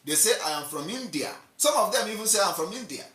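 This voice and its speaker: A man's voice: high-pitched, lively intonation, fast, sharp tone, far from the mic. A man with a Nigerian accent giving an impassioned, repetitive political monologue, mocking and emphatic. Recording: roomy echo, off-mic.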